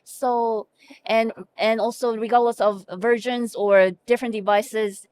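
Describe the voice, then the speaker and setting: Middle-aged woman, Korean accent, slightly high voice, earnest and halting, faint hiss underneath. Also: flat delivery, darkish tone. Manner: earnest, frequent fillers and self-corrections. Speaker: hospital chief medical information officer. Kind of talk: conversational interview answers.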